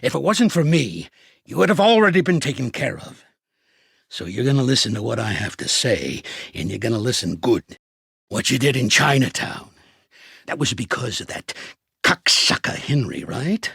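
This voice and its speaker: An actor playing an older American mafia boss in a video game, world-weary, shrewd and gruffly fatherly, talking blunt street slang in a gravelly voice. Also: mid-range voice, very animated, moderate pace, a bit bright, dry recording, studio quality, full character voice. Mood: stern, menacing. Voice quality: gravelly, raspy.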